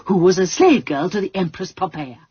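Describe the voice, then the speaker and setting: An actress playing a prim, resourceful English spinster sleuth in a radio drama, speaking in a fussy, genteel and precise manner. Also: female voice, lowish voice, sing-song, fairly quick, warm tone, slightly rough, highs cut off, full character voice. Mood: confiding, pleased. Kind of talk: British radio drama acting.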